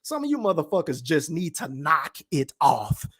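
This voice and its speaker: A high-voiced man with a Texan accent holds forth on a casual livestream. He is blunt and emphatic, swears freely, and keeps addressing the chat. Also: very animated, normal speed, a bit bright, husky. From Texas, Dallas-Fort Worth. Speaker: podcast host reading live chat.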